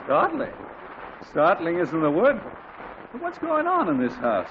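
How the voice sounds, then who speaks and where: A man's voice: sits high, huge pitch swings, unhurried, full character voice. An actor playing an exasperated, blustering middle-aged father in a 1940s radio comedy, heard through a tinny, hissy old radio recording. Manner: blustery, indignant.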